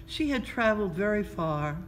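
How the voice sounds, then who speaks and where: Female storybook reader, mid-pitched, expressive narration, clear background noise. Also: normal speed. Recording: clearly noisy background ambience.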